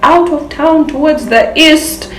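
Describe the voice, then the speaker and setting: A woman with a Ugandan accent, talking casually as an interview guest in short, halting phrases. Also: sits high, fairly bright tone, faint music behind. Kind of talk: casual chat. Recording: echoey room sound.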